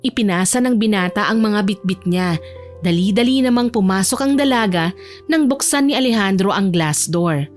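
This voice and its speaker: A woman's voice: mid-range voice, animated, moderate pace. A woman reading scripted narration for a romance audio drama, with music playing behind her.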